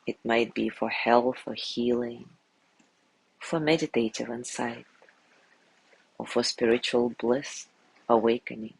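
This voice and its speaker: A man guiding a sleep meditation in a gentle, soothing way, softly spoken and unhurried, with long pauses between phrases. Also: medium pitch, level tone, creaky, husky, no echo, low-quality recording. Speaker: meditation guide.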